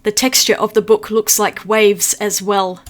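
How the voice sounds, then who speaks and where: A woman's voice: medium pitch, level tone, a little slow. A woman from New Zealand, an art vlogger narrating a voice-over with a chatty, upbeat manner, explaining each step.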